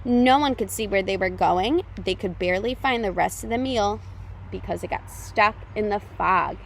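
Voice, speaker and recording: A woman reading a children's picture book aloud as a storytime reader, with expressive, deliberate phrasing for young listeners. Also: medium pitch, slight background noise.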